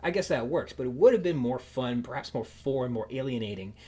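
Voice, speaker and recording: A man with a husky, slightly rough voice hosts a podcast interview. He talks in a conversational way, halting and thoughtful, working through long sentences and repeating words as he goes. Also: medium pitch, animated, warm tone. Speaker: podcast host.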